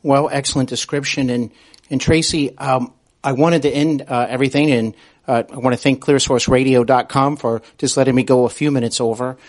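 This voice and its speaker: A man with a mid-range voice hosts a conversational interview. He speaks in a halting way, pausing as he builds each thought, and is openly complimentary to his guest. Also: mid-range voice, steady tone. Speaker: podcast and radio host, interviewer.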